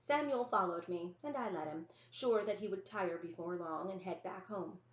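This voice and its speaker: A woman's voice: lowish voice, normal speed. Woman reading a children's novel, echoey room. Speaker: story reader. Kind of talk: scripted first-person narration, children's novel read-aloud. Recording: roomy, echoey, dull lo-fi sound.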